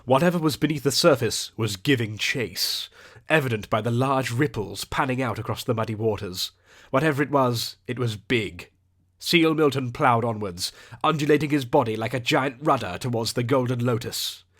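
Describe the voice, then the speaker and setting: A man's voice: mid-range voice, moderate pace, fairly bright tone. A man narrating a scripted audiobook adventure in a theatrical, descriptive storytelling manner.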